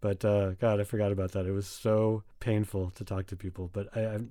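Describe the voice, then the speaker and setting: A man's voice: lowish voice, flat delivery, fairly quick, warm tone, no echo. A young man from the United States, a guest in a casual conversation, rambling with many fillers and restarts.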